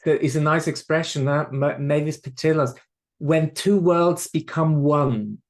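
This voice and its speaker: A man's voice: fairly high pitch, measured pace. A man with a Swiss accent, a medium being interviewed on a podcast, speaking reflectively and often restarting and repeating words. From Switzerland.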